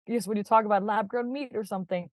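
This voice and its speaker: A woman's voice: mid-range voice, steady tone, fast, dry recording, studio quality. A woman from Denmark, a startup founder, chatting as a podcast guest, laughing often and filling her talk with "like" and "kind of". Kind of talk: casual podcast interview.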